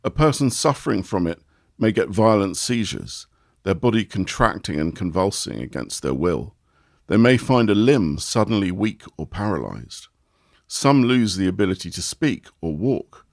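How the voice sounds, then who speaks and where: Guy reading an article out loud, measured and expressive, very dry sound. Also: deep voice, vocal fry, dry recording. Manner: measured, expressive reading with lively rise and fall. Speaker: reader of an article. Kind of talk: read-aloud article narration.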